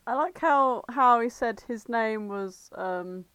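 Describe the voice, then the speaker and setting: A woman's voice: on the high side, takes it slowly, a bit bright, smooth, no echo. A British woman chatting casually as a co-host, blunt, sarcastic and sweary. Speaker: podcast co-host. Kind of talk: casual chat.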